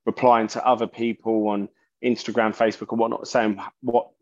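A man, a musician guest in an interview, talking haltingly and often restarting his sentences. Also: fairly low pitch, flat delivery. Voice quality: loud voice. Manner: halting, searching for words, many restarts. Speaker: musician guest, bassist and singer. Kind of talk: casual interview talk. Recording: dull lo-fi sound.

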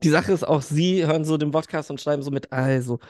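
A man's voice: a little high, quick pace, darkish tone, no echo. A man co-hosting a casual podcast, joking, crude and laughing often. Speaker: podcast co-host. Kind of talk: casual chat.

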